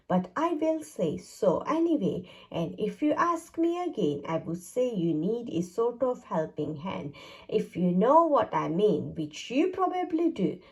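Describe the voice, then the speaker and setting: A woman's voice: mid-range voice, darkish tone. A woman voicing a cheerful, polite, talkative male snowman in a children's storybook, chatting in rambling, hedging phrases. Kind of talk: children's storybook read-aloud.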